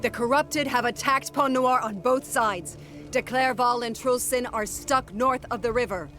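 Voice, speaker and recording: A woman's voice: a little high, a little slow, a bit bright, faint music behind. A woman playing a dutiful, grave military officer in a fantasy game, speaking formally as in a briefing. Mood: grave, urgent. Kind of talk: dramatic fantasy game cutscene acting.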